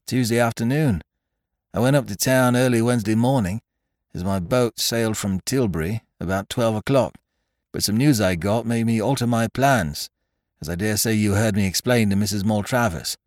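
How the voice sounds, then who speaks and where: A man's voice: on the low side, moderate pace, a little mellow, no room sound, clean studio sound, pitched above the performer's own voice, lightly acted. A man voicing an affable, well-bred young army captain in an audiobook, with a clipped and casual manner. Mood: helpful, brisk. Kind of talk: audiobook character voice.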